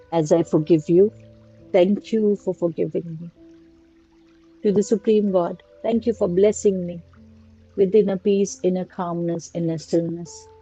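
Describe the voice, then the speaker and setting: A woman slowly guiding a prayer and meditation in a soft, repetitive litany of blessings. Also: fairly low pitch, slightly rough. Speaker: meditation guide. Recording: dull lo-fi recording with faint music. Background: faint music.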